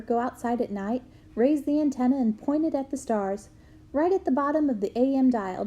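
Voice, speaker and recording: A woman reading a memoir aloud to students in a clear storytelling manner. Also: a little high. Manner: clear storytelling, bursts into loud shouts for quoted yells.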